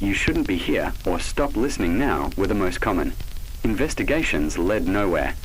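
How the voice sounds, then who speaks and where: Male narrator, low register, very varied intonation, dramatic and foreboding scripted horror storytelling. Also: slightly rough, low-quality recording. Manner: measured, ominous, dramatic delivery.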